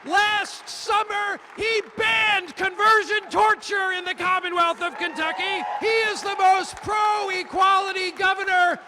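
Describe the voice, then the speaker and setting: A man announcing a speaker at a rally, shouting his introduction in drawn-out hype. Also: very high voice, steady tone. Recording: loud cheering crowd and noise.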